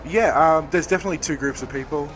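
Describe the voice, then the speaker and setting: Hoarse man at a convention. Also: fairly high pitch, fast talker, soft background music, low-quality recording. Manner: rambling, self-correcting, thoughtful. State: hoarse, losing his voice. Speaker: indie game developer. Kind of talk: convention floor interview. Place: busy convention floor.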